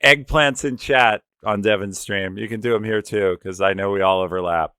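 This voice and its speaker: Low, smooth-voiced man co-hosting. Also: moderate pace, bright, forceful tone, no echo, studio quality. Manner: informal, addresses the chat directly. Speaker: livestream co-host. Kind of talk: casual livestream hosting. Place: video call.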